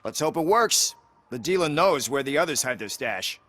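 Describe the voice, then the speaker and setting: A man's voice: fairly high pitch, expressive, normal speed, fairly bright tone. A man playing a plain-spoken, streetwise character in a crime video game, insistent and matter-of-fact.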